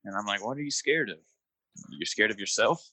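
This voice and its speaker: A man's voice: lowish voice, lively intonation, brisk, bright, forceful tone, slightly rough, no room sound, rough recording. A young man in casual chat, talking in a laid-back, rambling way with lots of fillers.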